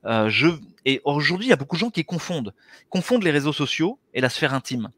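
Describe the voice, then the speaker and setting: A man's voice: fairly high pitch, big pitch swings, fast, fairly bright tone, dry recording. Earnest man on a headset video call. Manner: earnest, gestures with his hands while explaining. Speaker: interview guest, anesthesiologist and author. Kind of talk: explanatory conversational interview talk. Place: small indoor room. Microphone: headset mic on a video call.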